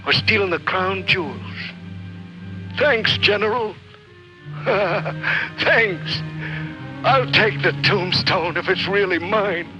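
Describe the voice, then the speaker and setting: An actor playing a bitter, doomed soldier who rambles to himself in self-mocking mutters, in an old film drama. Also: male voice, high-pitched, slow pace, long pauses, muffled recording, over music. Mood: anguished, bitter. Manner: muttering, self-mocking, swinging interior monologue.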